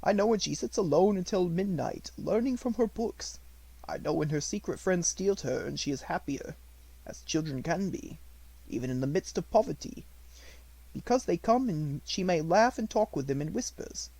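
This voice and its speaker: A man voicing a devoted, respectful Indian manservant in a storybook, speaking in formal, poetic, measured phrases. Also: high-pitched, expressive, gravelly, dark tone, dry recording, voice pushed up, full character voice, low-quality recording. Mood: reverent, tender.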